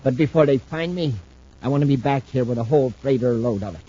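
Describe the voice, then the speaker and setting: An actor playing a weakened, obsessed spacer in a 1950s radio drama, brooding and intense. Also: male voice, mid-range voice, fast talker, warm tone, natural voice. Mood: feverish, driven. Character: thin, weakened, obsessed male spacer seeking his old partner. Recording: muffled old-time radio recording.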